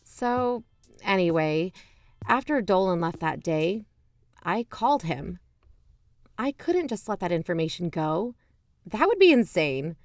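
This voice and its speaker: An actress playing a suspicious, self-justifying investigator who hosts a podcast, narrating in an emphatic, confiding, conversational way. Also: female voice, lowish voice, sing-song, measured pace, long pauses, dry recording, soft background music. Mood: defensive, insistent. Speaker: podcast host.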